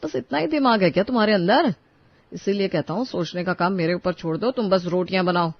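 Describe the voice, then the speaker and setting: A man voicing a proud, dismissive husband in an animated story, talking in a scornful, condescending way. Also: high voice, brisk, no room sound. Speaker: voice actor. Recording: dull, dry studio recording.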